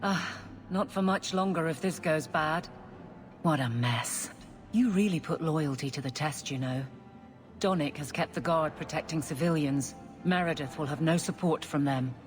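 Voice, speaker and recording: A woman's voice: deep voice, moderate pace, close to an everyday voice. A woman playing a blunt, dutiful guard captain in a video game cutscene, with a wry, wary manner. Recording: long reverb, game music behind.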